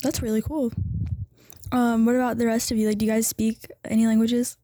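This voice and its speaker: A teenage girl hosts a podcast, talking in a friendly, reflective way. Her voice sits slightly high and soft. The recording is close and dry. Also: flat delivery, brisk, no echo. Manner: friendly, thoughtful, hedging with "like". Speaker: podcast host. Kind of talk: casual chat.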